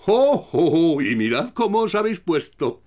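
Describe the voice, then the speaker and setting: A man's voice: a little high, big pitch swings, moderate pace, a little mellow, soft background music. A man voicing a jolly, indulgent father pig in a children's cartoon, hearty and playful, with a Castilian Spanish accent. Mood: exclaiming, jolly.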